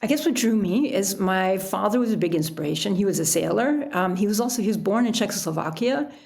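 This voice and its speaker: A woman's voice: medium pitch, lively intonation, brisk, gravelly, darkish tone. A middle-aged American woman talking conversationally as an interview guest, explaining things with frequent "you know" asides. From United States. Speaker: podcast guest, author and sailor.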